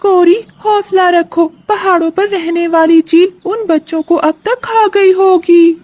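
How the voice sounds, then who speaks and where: A woman voicing a small, talkative parrot in a children's cartoon, with a squeaky, earnest delivery. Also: steady tone, unhurried, darkish tone. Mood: concerned. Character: small talkative parrot bringing grave news. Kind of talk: Hindi children's cartoon voice acting.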